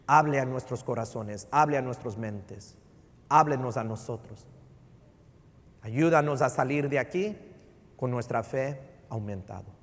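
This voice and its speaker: A middle-aged pastor from Houston preaching a sermon, animated, with a Texan Spanish accent and English words mixed in. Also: male voice, medium pitch, unhurried, pauses often. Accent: Texan Spanish with English code-switching. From Houston, Texas. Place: church sanctuary. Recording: church sanctuary reverb.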